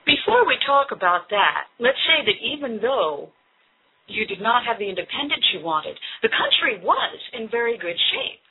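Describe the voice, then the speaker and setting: A woman's voice: on the high side, sing-song, normal speed, husky. A woman hosting an interview, asking direct, prompting questions. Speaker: radio interview host. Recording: tinny phone-line sound.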